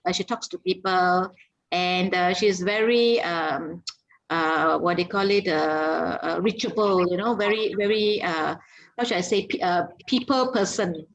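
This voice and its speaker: A woman's voice: lowish voice, unhurried, rough recording. A woman on a video call, often searching for words and repeating herself. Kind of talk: casual video call chat.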